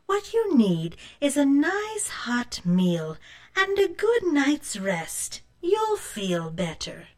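A woman voicing a kindly, frail old village woman in a storybook tale, with a gentle, drawn-out way of talking. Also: high-pitched, wildly swooping pitch, pitched above the performer's own voice, full character voice. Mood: soothing.

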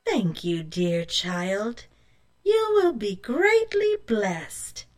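A woman performs a kindly old village woman in a storybook. She speaks gently and slowly, drawing out her words. Her pitch swings expressively as she soothes and gives thanks. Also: big pitch swings. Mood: grateful.

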